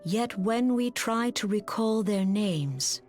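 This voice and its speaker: A serene, grave mystic leader from a fantasy game. She speaks very slowly and formally in measured, archaic phrases, with music playing behind her. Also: mid-range voice, lively intonation, darkish tone. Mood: solemn reverence. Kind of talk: scripted fantasy game cutscene acting.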